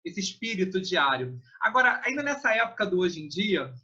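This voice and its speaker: A man hosts a casual interview and sets up his guest with long, chatty questions that trail off into "né?" His voice is high and very bright, but the recording sounds dull and lo-fi. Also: sing-song, moderate pace. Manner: chatty, leading questions with tag "né". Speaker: interview host.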